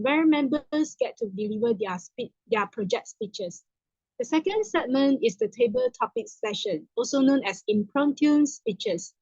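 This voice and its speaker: A woman's voice: high-pitched, gravelly, a little mellow, breathy edge, dry recording. Woman hosting a meeting formally. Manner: formal, explanatory, some restarts. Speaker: meeting host, toastmaster of the evening. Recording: dull lo-fi dry sound.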